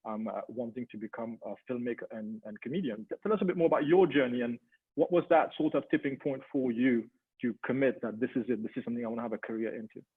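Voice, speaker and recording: A man's voice: medium pitch, steady tone, fast talker, husky. A man moderating a panel webinar over a video call, chatty and personal, with frequent ums. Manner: conversational, many ums, warm personal asides. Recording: tinny phone-like audio.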